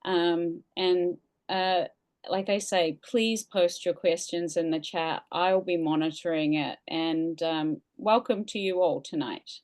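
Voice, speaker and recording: A woman with a New Zealand accent introduces a guest speaker at an online museum event. Her voice sits fairly low, and she speaks politely, with plenty of ums and uhs. She is heard over a video call. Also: fairly even intonation, takes it slowly, darkish tone. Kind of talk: formal introduction to an online talk.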